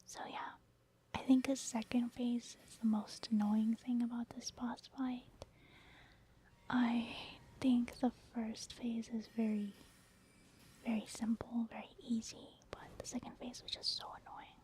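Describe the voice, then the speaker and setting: A woman giving soft-spoken ASMR game commentary in a hushed, breathy near-whisper, explaining things gently and casually. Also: fairly high pitch, level tone, measured pace, slight background noise. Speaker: ASMR gaming video host.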